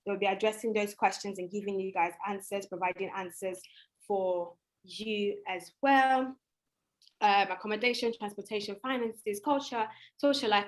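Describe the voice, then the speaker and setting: Young woman hosting a student livestream, kinda high voice, chatty n informal, giving tips. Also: a bit bright. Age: young adult. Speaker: student host of a live online event. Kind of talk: casual, chatty livestream talk.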